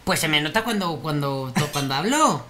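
A man's voice: expressive, moderate pace, a bit bright. A man playing a vain middle-aged Mexican mom with a Mexican Spanish accent, fussy and giggly in character. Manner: fussy, giggly, with sudden high squeaks. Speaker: co-host. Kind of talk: improvised comedy chat in character.

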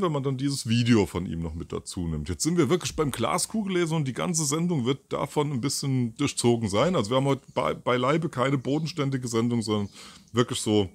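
A man's voice: medium pitch, no echo. A man in his fifties doing a casual solo commentary outdoors by a garden, rambling with many fillers and self-corrections, into a mic with a furry windscreen. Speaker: host of a commentary show. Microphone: furry windscreen mic near camera.

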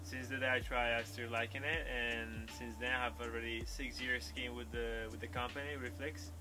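A young man, a pro water skier, answering interview questions outdoors on a lakeside dock, halting and searching for words. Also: medium pitch, little pitch movement, over music. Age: young adult. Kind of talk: casual interview.

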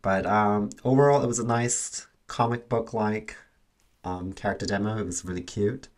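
Young adult male reaction host. Deep voice, casual conversational commentary. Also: fairly even intonation, normal speed.

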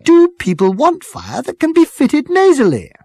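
Shrill high smug marketing girl, strident, talks down to everyone, comic storybook. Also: wildly swooping pitch, normal speed, a little mellow, no room sound, clean studio sound, heavily acted. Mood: smug, insistent. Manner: condescending, insistent. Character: strident, smug young female marketing executive.

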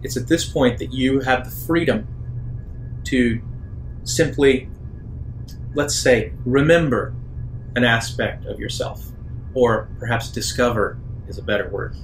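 A man narrating an improvised tabletop roleplaying game as game master, in a measured way with hesitant pauses. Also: medium pitch. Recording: roomy echo, faint background noise.

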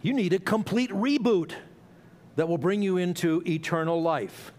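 A man's voice: sits high, moderate pace, a little mellow. A middle-aged man preaching an expository sermon in a church, explaining a passage in a conversational way with asides and small restarts. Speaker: pastor. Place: church sanctuary.

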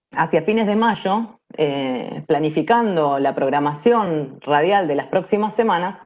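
A woman with an Argentine accent giving a prepared presentation over a video call. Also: fairly low pitch. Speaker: workshop presenter. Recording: dull lo-fi video-call audio.

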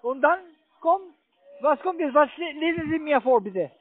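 A man with a strikingly high voice comes through a tinny phone line. He is a call center agent, speaking German. He gives computer instructions haltingly, staying polite while pressing on. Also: lively intonation, no echo. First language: not German.

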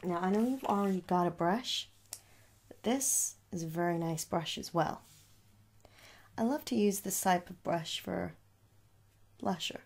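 A young woman speaking softly in an ASMR shop roleplay, coaxing the listener with little questions in a husky voice. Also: lowish voice, measured pace, pauses often. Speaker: ASMR performer playing a makeup shop seller. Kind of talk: soft-spoken ASMR roleplay. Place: small room at home.

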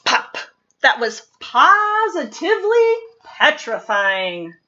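A woman reads a children's picture book aloud with expressive, deliberate dramatic emphasis, recorded in a living room. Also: high voice, lo-fi sound. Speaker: storytime reader.

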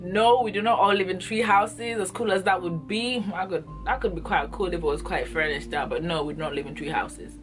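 A woman's voice: fairly low pitch, brisk, hardly any pauses, over music. A young woman from Ghana, talking to the camera in an earnest, emphatic way and repeating herself for stress, recorded close up in a small room. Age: young adult. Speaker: vlogger. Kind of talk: passionate direct-to-camera monologue. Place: small indoor room, plain wall. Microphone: camera in front at close range.

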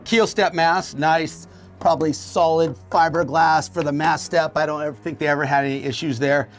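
A man's voice: fairly high pitch, fairly even intonation, normal speed, soft background music. A middle-aged man giving a casual, enthusiastic walkthrough tour of a sailboat in a marina. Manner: enthusiastic, talks while pointing and moving. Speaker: boat tour host. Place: aboard a sailboat in a marina, on deck and below.

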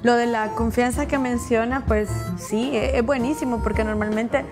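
A woman in her thirties from El Salvador, interviewing in a relaxed, conversational way in a small classroom. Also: fairly high pitch, normal speed. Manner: friendly, conversational, recapping. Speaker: interviewer, business consultant. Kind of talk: casual interview. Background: soft background music.

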